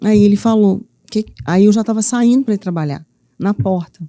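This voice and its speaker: A middle-aged woman from Minas Gerais with a Minas accent, telling her story as a studio interview guest with lively, playful earnestness. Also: mid-range voice, moderate pace, no echo. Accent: Minas Gerais Brazilian Portuguese. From Minas Gerais, Brazil. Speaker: guest, author and mentor.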